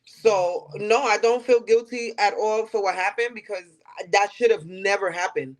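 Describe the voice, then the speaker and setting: A woman's voice: mid-range voice, moderate pace, low-quality recording. A woman in her late thirties with a New York accent, speaking candidly as an interview guest and repeating phrases for emphasis. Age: late 30s. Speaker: podcast guest.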